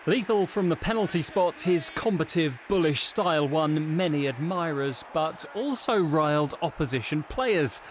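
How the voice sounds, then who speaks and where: A man's voice: fairly high pitch, animated, normal speed, hardly any pauses, a little hiss or hum. A man reading a scripted news obituary package as a sports reporter.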